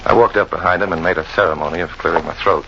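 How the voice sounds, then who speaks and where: Through clear noise on a muffled old radio recording, a cynical American man, a jungle guide on the run. His voice is very low, his manner tough, wry and slangy, like hard-boiled radio narration. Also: steady tone, fast talker, sharp tone, full character voice.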